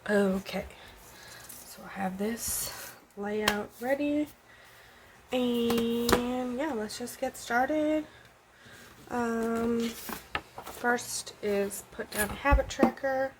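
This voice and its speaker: A woman's voice: fairly high pitch, very slow, pauses often, very noisy. A woman with a stuffed-up nose, chatting casually and rambling with many ums and likes while she decorates her planner at home. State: stuffed up with a cold. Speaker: planner vlogger. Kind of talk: casual plan-with-me chat.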